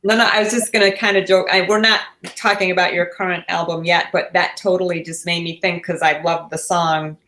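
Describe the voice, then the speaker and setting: A woman's voice: fairly low pitch, flat delivery, brisk, few pauses, a bit bright, a little hiss or hum. A woman with an American accent, co-hosting a podcast in casual, bantering chat. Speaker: podcast co-host.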